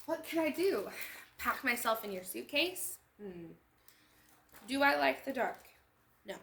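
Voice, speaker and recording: Woman playing a storybook young girl, dramatic, exclamatory, swooping pitch, roomy echo. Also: expressive, measured pace, gravelly, sharp tone, a little hiss or hum, exaggerated voice. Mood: desperate. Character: emotional, chatty young girl storybook narrator losing her friend. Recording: echoey room sound.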